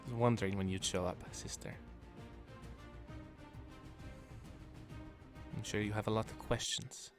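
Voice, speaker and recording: A man's voice: fairly low pitch, fairly even intonation, quick pace, soft background music, lightly acted. A man voicing a zealous horned woman cultist with a put-on Russian accent during a tabletop game. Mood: taunting. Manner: pleading, fervent, persuasive.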